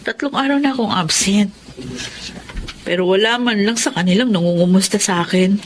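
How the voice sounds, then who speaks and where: A woman's voice: mid-range voice, normal speed, slight background noise, close to an everyday voice. An actress with a Filipino accent playing a young, domineering female boss who is lonely and regretful, in an emotional drama. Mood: hurt, self-doubting. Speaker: actor in a drama.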